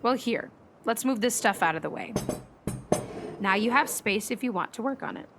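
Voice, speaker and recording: A woman's voice: fairly high pitch, animated, normal speed, over music. A woman playing an earnest, caring meteorologist in a naturalistic radio play, conversational and thoughtful. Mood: friendly.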